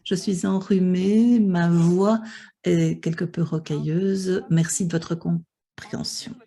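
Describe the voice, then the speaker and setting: A woman's voice: on the low side, steady tone, slow, gravelly, warm tone. A woman interpreting a conference panel live, with many hesitations and restarts. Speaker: simultaneous interpreter for an online panel.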